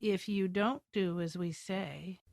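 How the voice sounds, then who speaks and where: An actress playing a sultry, boastful femme fatale villain in a noir radio drama, coy and taunting. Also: female voice, lowish voice, slow, gravelly, darkish tone, no echo, studio quality. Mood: menacing, seductive.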